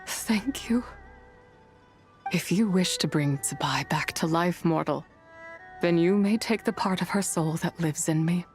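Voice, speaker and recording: A woman's voice: lowish voice, a little slow, faint music behind, natural voice. A woman playing a solemn, once-wrathful immortal spirit in a game, speaking formally and with weary measure. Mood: relieved, at peace. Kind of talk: scripted game voice acting.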